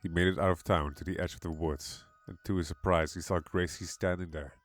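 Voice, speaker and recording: A man's voice: deep voice, fairly even intonation, fairly quick, no echo. A man giving a dramatic scripted narration of a horror story. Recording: music behind the voice.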